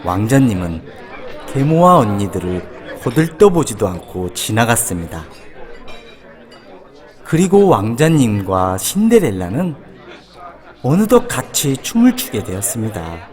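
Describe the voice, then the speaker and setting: A man's voice: lowish voice, big pitch swings, a little slow, pauses often, a faint crowd. A man reading a children's fairy tale aloud as a scripted storybook narrator.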